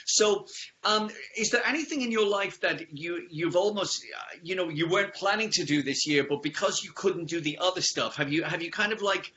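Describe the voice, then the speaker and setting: A man's voice: sits high, moderate pace, sharp tone, muffled recording. A man hosting a casual radio interview, chatty and effusive, asking rambling questions.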